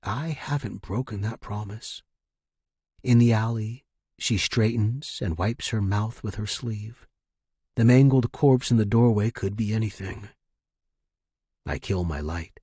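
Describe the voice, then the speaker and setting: A man reading first-person fiction as a narrator, in a grave, measured, dramatic manner. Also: lowish voice, warm tone, husky, no room sound, studio quality. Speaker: story narrator.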